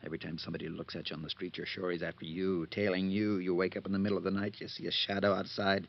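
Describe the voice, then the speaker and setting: An actor playing a jittery, worn-down embezzler on the run, edgy and bitter, in 1950s radio drama. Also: male voice, low-pitched, flat delivery, very fast, creaky. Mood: bitter, weary. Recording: muffled old radio recording.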